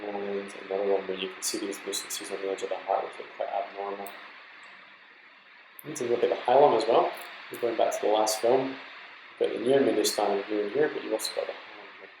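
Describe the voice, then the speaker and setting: A man lectures in a low voice with plenty of creak at the edges. He explains things step by step in an informal, instructive way, as if teaching trainee doctors from slides. The recording is roomy and echoey. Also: steady tone, fast, noisy background. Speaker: medical lecturer.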